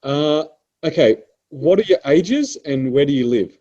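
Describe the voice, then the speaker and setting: A man's voice: a little high, expressive, a little slow, warm tone, slightly rough, dull audio. A man in his mid-thirties from Melbourne with an Australian accent, hosting a podcast and reading out listener questions in easygoing chat. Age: 30s. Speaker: prep coach podcast host reading questions.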